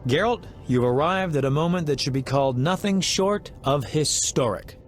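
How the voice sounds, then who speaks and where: Fantasy game bard: male actor as dramatic, flowery courtly talker, slightly high pitch, faint music behind. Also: moderate pace, lightly acted. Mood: theatrical. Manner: theatrical, wordy, courtly. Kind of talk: video game acting.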